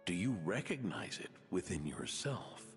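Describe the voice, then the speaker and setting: Deep, echoing, gentle inventor. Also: male voice, sing-song. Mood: gentle, reassuring. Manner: measured, earnest. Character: gentle philosophical male inventor, reassuring. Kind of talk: video game voice acting. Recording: long hall reverb, soft music behind.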